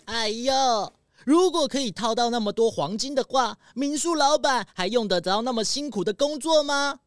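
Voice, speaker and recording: A woman's voice: on the high side, normal speed, dry recording, studio quality, soft background music, lightly acted. A woman voicing a whiny, know-it-all animal hiker, theatrical and exclaiming, in a children's mystery audio drama.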